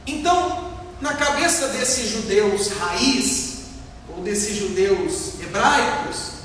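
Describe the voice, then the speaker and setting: A man's voice: high-pitched, expressive, takes it slowly, a bit bright. A Brazilian man preaching as a pastor, explaining a Bible passage in a didactic, emphatic way with rhetorical questions and repeated points. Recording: echoey hall, distant mic, faint noise.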